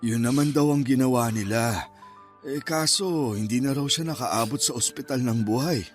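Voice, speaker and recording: An actor playing a steady elderly grandfather with a measured, consoling way of talking, in a Filipino-accented Tagalog radio drama. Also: mid-range voice, soft background music, lightly acted. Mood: somber.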